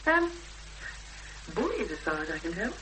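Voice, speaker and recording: A woman playing an anxious but determined young woman in a 1970s radio drama, speaking hesitantly with thoughtful pauses. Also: mid-range voice, very animated, bright, forceful tone. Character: anxious, determined young woman seeking her missing sister. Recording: noisy, hissy 1970s radio broadcast recording.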